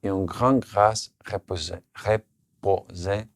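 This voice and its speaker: A man who speaks French, his language, giving an earnest, measured sermon. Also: sits low, steady tone, creaky, dry recording. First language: Russian. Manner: earnest, measured, slight restarts on words. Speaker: preacher.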